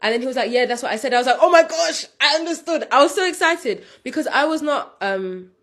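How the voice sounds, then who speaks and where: A woman's voice: high voice, expressive, quick pace, a bit bright. Passionate young woman vlogger. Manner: passionate, emphatic, repeats for stress. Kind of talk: casual opinion monologue.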